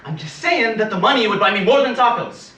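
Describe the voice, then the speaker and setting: An actor playing an exasperated young armed robber in a stage comedy, loud and demanding. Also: male voice, mid-range voice, very fast, slight background noise, lightly acted. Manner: loud, demanding, strained shouting. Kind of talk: live comedic stage acting. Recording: echoey stage from far off.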